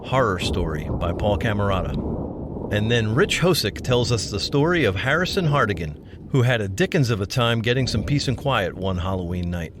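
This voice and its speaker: A man's voice: fairly low pitch, expressive, normal speed, noisy background. A man giving a scripted podcast introduction as host. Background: music.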